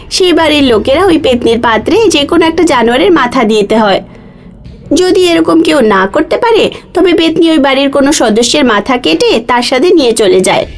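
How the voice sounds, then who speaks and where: A woman voicing a gossipy village neighbour who warns newcomers in an emphatic, foreboding way, in a cartoon ghost story. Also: high voice. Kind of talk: animated ghost story dialogue.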